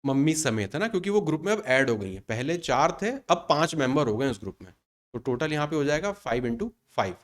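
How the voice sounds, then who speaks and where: A man's voice: a little high, big pitch swings, fairly quick. A man in his thirties teaching an exam-prep maths lesson in Hindi mixed with English terms. He explains step by step and answers his own questions. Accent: Indian Hindi with English terms mixed in. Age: 30s.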